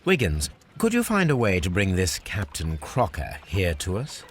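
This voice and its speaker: An actor playing a cool, shrewd Victorian detective in a video game, speaking formally and incisively. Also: male voice, low-pitched, huge pitch swings, normal speed, slight background noise. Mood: composed. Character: cool, shrewd Victorian male detective, self-assured and probing. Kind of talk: video game cutscene acting.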